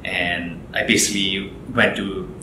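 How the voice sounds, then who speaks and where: Low-voiced young man from Nepal. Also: unhurried, a little hiss or hum. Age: young adult. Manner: relaxed, rambling, frequent fillers and restarts. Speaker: interview guest. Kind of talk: casual interview chat. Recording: echoey room with loud music.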